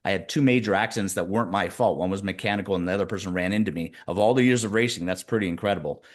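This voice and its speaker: A man in his fifties with a fairly low voice tells his stories as an interview guest. His delivery is animated, speeding up and slowing down as he goes. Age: 50s. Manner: animated storyteller, speeds up and slows down. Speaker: guest, executive coach. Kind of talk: casual interview chat.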